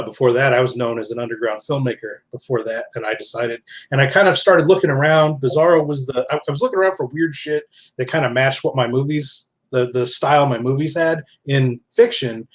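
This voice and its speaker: A man speaking as a podcast guest in casual, reflective conversation, telling things in a discursive, self-correcting way. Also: medium pitch. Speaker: podcast guest, author and publisher.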